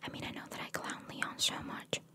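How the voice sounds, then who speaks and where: A woman whispering ASMR-style as she reads out trivia and adds informal, musing asides. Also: brisk. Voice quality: soft, unvoiced whisper. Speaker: ASMR content creator. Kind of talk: whispered ASMR reading of trivia with casual commentary.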